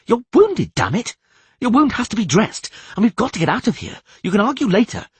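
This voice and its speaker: A man voicing an excitable, exasperated troubadour in a fantasy audiobook, shouting in a high-strung way. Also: fairly quick, breathy edge, no echo, heavily acted. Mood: frantic pleading.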